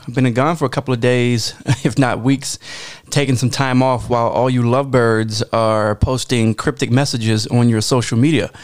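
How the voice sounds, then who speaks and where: An American man hosting a podcast, curious and rambling, often breaking into laughs. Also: medium pitch. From United States. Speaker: podcast host. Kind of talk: casual chat.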